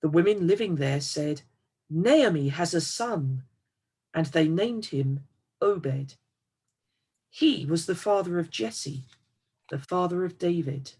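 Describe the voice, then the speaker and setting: A woman's voice: on the low side, animated, takes it slowly, pauses often, dark tone, breathy edge. A woman reading a Bible passage aloud as a scripture reader.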